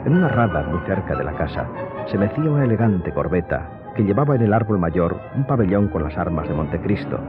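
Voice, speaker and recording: A man narrating a radio novel in a measured, literary, dramatic storytelling style. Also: deep voice. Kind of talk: dramatic scripted audiobook narration. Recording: muffled audio under prominent music.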